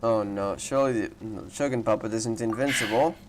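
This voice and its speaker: A man's voice: on the low side, normal speed, heavy background noise. A man giving casual, think-aloud commentary as he plays and reacts to a video game. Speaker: gaming content creator. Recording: loud game music over voice. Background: loud game music.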